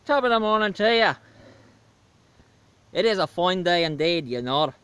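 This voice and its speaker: A man's voice: sits high, lively intonation, moderate pace, fairly bright tone, clean tone, dry recording. A man voicing a cheeky male leprechaun movie reviewer, with a put-on Irish accent and a jokey, rambling delivery full of fillers. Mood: jolly.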